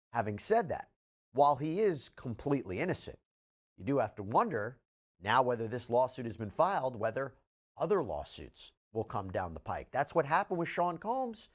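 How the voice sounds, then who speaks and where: A man delivering emphatic, explanatory legal news analysis, like a commentator. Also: medium pitch.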